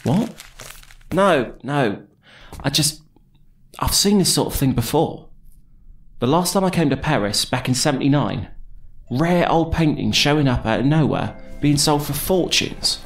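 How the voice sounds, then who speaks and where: A man's voice: on the high side, lively intonation, a little slow. An actor playing a dry, sardonic male investigator in an audio drama. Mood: guarded.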